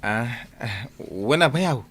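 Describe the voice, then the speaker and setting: A man's voice: medium pitch, expressive, slow pace, fairly bright tone, slightly rough, full character voice. An actor playing a boastful, flirtatious driver courting a woman in a radio drama, with an Ilocano accent. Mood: hesitant. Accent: Ilocano, northern Philippines.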